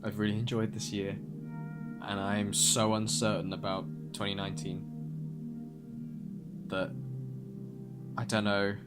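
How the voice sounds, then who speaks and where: Hesitant young man over clear music. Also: lowish voice, level tone, unhurried, long pauses. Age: young adult. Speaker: vlogger. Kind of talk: candid personal talk to camera.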